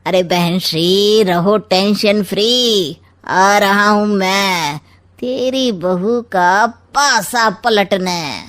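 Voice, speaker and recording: A woman's voice: mid-range voice, very slow, a bit bright. A woman voicing a sly, scheming middle-aged uncle in a cartoon, with a teasing, drawling way of talking.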